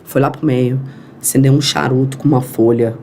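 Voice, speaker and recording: A woman's voice: rumbling low, moderate pace, slight background noise. A woman from Brazil telling a long story as a podcast guest, acting out the voices in it. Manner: animated, acts out quoted dialogue. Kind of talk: storytelling in an interview.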